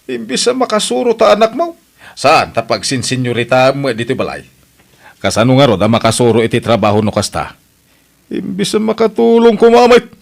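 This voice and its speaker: A man's voice: mid-range voice, big pitch swings, a little fast, gravelly. A man with an Ilocano accent playing a stern, impatient, nagging head of a household in a radio drama, with a blunt scolding manner.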